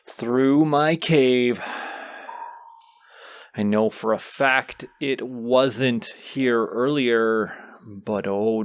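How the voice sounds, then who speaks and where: Proud, condescending dragon guarding its hoard, played by a man, mid-pitched, very slow theatrical delivery, fantasy audio drama. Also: no room sound. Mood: suspicious. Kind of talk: fantasy audio roleplay acting.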